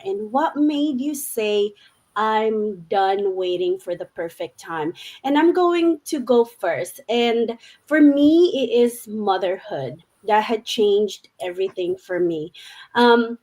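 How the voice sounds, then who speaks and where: A woman hosting a live panel over a video call, her voice sitting high. She speaks slowly, earnest and upbeat, with fillers. She tells her own story to the guests. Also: darkish tone, poor audio. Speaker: host of a live online panel.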